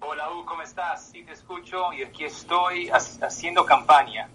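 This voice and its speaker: A man in his twenties whose first language is Portuguese, answering interview questions in halting speech with many pauses. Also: a little high, bright, forceful tone, slight background noise, poor audio. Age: 20s. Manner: halting, with many filler sounds. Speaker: political candidate. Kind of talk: interview by phone.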